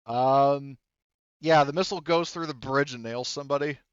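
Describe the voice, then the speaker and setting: A man running a tabletop game casually as game master, thinking aloud haltingly as he works through rules and rolls. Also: mid-range voice, clear, resonant tone, highs cut off. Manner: halting, thinking aloud while rolling dice.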